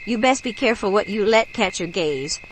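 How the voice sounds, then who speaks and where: A somber bayou local played by a woman speaks in a grave, folksy, cautionary way, as in a horror radio play. Her pitch is middling, and crickets and frogs sound clearly around her in the night. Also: lively intonation, fairly quick, lightly acted, poor audio. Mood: grave warning. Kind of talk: horror radio drama acting. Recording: clear background noise of night insects.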